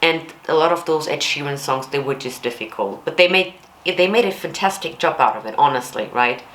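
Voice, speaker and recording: A woman with a low alto voice, giving blunt, candid and expressive reaction commentary as a vocal coach. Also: brisk, echoey room, a little hiss or hum. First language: German.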